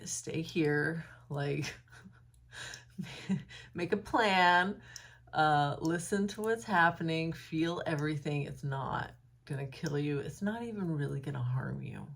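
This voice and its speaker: Low, husky, slow-talking woman vlogger. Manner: thoughtful, hesitant, many ums and likes. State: slightly hoarse, froggy voice. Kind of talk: unscripted reflective talk to camera. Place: room at home, indoors. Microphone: phone camera.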